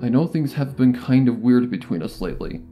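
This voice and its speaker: A narrator voicing a small young male dragon who is warm and reassuring. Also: medium pitch, lively intonation, fairly quick, soft background music, close to an everyday voice. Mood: gentle, reassuring.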